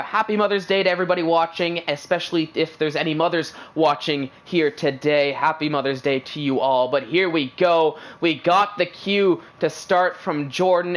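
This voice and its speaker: Casting: upbeat male play-by-play voice for sim racing streams, loose and chatty. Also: fairly high pitch. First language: English. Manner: chatty, filler-heavy, upbeat. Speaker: esports racing commentator. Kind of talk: live race commentary.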